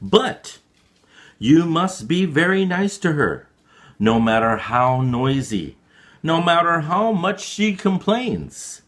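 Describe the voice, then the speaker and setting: Man as a slow, measured storybook monk. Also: a little high, big pitch swings, fairly bright tone, pitched above the performer's own voice, full character voice. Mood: firm instructing. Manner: measured, emphatic, instructing. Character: calm, wise old-fashioned monk giving firm advice.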